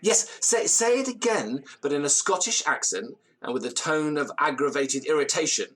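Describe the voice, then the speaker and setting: An actor playing a pompous, excitable gentleman who speaks in florid, theatrical language in an audio drama. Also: on the high side, moderate pace, breathy edge. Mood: agitated, directing. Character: pompous, excitable Victorian-style gentleman storyteller. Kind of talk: dramatic first-person storytelling in audio drama.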